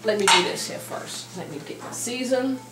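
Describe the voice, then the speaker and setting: A woman's voice: fairly high pitch, animated, moderate pace, heavy background noise. A middle-aged woman demonstrating a recipe in her home kitchen, chatting in a homey way as she cooks. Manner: chatty, homey, talks while stirring and moving about. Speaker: cooking show host. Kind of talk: casual cooking demonstration. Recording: home video with jazz and sizzling behind. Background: jazz playing, food sizzling in the pan.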